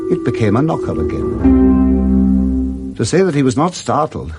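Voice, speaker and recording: A man with an English accent narrating a classic story for 1960s British radio in a measured, theatrical manner. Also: medium pitch, darkish tone, breathy edge.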